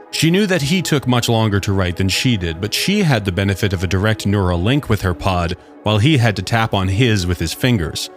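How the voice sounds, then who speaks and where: A man reading a scripted story aloud as its narrator. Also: lowish voice.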